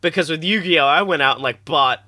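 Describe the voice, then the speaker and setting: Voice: mid-range voice, fairly quick, bright, forceful tone. A podcast co-host from the United States chatting casually, telling rambling, joking stories with plenty of swearing. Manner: profane, joking, rambling storytelling. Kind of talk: casual comic podcast chat.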